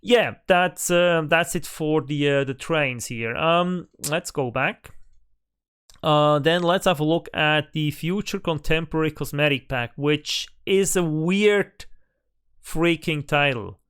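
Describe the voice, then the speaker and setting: Man, native German speaker, higher-pitched and smooth voice, hesitant casual game commentary, desk mic. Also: slow pace, a bit bright. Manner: hesitant, thinking aloud with many fillers and restarts. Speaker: gaming channel host. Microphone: desk microphone.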